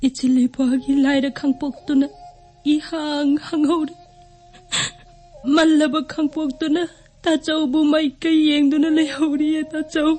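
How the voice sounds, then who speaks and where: A woman's voice: sits high, little pitch movement, rapid-fire, darkish tone, soft background music, lightly acted. A woman acting in a radio drama as an anguished wife longing for her missing husband, emotional and distressed. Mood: sorrowful, longing. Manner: emotional, tearful delivery in distress.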